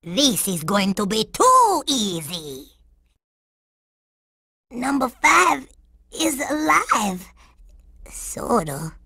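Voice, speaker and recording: A woman's voice: on the high side, wildly swooping pitch, drawn-out words, long pauses, bright, forceful tone, slightly rough, no echo, studio quality, heavily acted. A woman voicing a cool, cocky, sassy girl in a cartoon video game, with a drawling delivery. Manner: drawling, refers to herself in third person.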